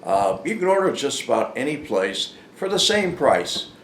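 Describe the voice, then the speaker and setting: An older man telling a casual, unscripted story in a halting, rambling, plain-spoken way. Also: mid-range voice, very animated, fairly bright tone. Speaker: storyteller, former informant hosting his own channel. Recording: echoey room with faint background noise.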